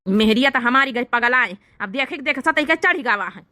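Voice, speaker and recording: Woman voicing a high-voiced, scolding cartoon husband. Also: lively intonation, moderate pace, bright, forceful tone, no echo. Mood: exasperated, scolding. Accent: Awadhi. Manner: scolding, argumentative, grumbling. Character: exasperated, scolding village husband in a comedy cartoon. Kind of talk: exaggerated cartoon comedy dialogue.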